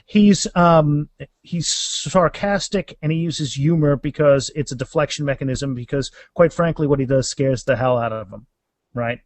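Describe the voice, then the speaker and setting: A man speaking as an author guest in a casual interview, halting and restarting phrases, with self-deprecating humor. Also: on the high side, no echo.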